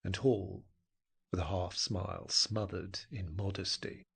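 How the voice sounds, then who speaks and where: A man narrating a scripted audio drama with intense, dramatic, deliberate phrasing. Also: low-pitched, fairly even intonation, creaky, fairly bright tone, slightly rough.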